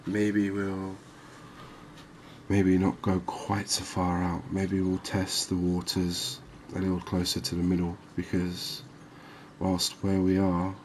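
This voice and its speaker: A man with a deep voice murmurs ASMR commentary on a game. He takes his time, with long slow phrasing and little melodic movement. Some background noise and ambience sits behind him. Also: darkish tone. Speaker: host of a gaming series.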